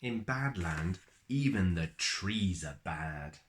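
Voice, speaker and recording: A man reading a children's picture book aloud in an expressive storyteller's manner, with dramatic pauses and drawn-out words. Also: low-pitched.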